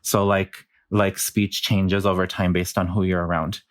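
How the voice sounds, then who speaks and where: Deep, flat-voiced New Mexican man. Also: unhurried, dry recording, clean studio sound. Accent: New Mexican Chicano English. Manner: thoughtful, explains with many fillers and restarts. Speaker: linguistics researcher guest. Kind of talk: conversational podcast interview.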